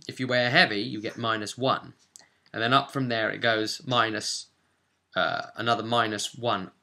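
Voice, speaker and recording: A man presents an informal tutorial in a bright, forceful voice of average pitch. His delivery is conversational and chatty, like someone talking a newcomer through the rules, checking in as he goes. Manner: chatty, checks in with "okay?" and "right?". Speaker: presenter of a tabletop roleplay primer.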